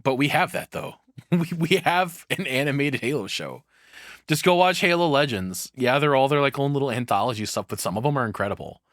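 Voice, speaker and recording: A man's voice: medium pitch, expressive, fairly quick, a bit bright, no room sound, studio quality. A man giving casual reaction commentary as a streamer, rambling and opinionated, with some swearing.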